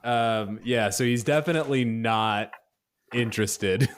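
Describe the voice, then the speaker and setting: Slow-paced man playing a tabletop game. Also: mid-range voice, a little hiss or hum. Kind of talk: casual tabletop roleplay chat.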